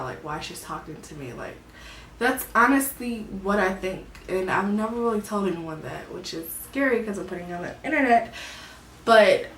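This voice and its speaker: A woman, vlogging about her own life in a soft, hesitant way, often searching for words. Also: fairly low pitch, slight background noise. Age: 20s. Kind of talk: personal confessional vlog. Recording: echoey room, mic at a distance.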